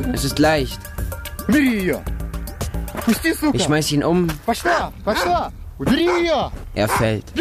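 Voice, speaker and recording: A man's voice: mid-range voice, lightly acted. An actor narrating a radio drama as a bleak, hardened homeless Russian street boy, in short, stark sentences. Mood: bitter, grim. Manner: short, plain, stark present-tense sentences.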